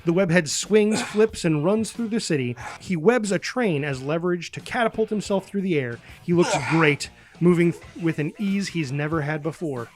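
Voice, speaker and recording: High-voiced man reading stage directions. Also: lively intonation, moderate pace, few pauses. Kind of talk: scripted screenplay narration.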